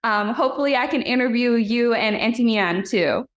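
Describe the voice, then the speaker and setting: Bubbly young woman, slightly high voice. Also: normal speed, lo-fi sound. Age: young adult. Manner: bubbly, giggly, lots of fillers. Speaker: guest host. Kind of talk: casual family chat.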